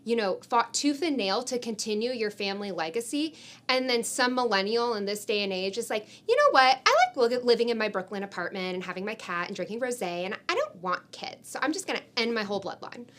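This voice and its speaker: A woman's voice: fairly high pitch, big pitch swings, a little fast, a bit bright. A woman, co-hosting a podcast in an emphatic, informal, opinionated way, often saying "like". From San Francisco Bay Area, United States. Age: 20s. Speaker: podcast co-host. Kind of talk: opinionated conversational podcast talk.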